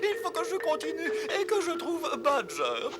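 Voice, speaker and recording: Casting: anxious, kindly little mole, high swooping voice, fretful exclamations, cartoon delivery, loud music underneath. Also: wildly swooping pitch, moderate pace. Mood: nervously determined. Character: timid, kindly, anxious young mole gentleman. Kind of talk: cartoon dub acting.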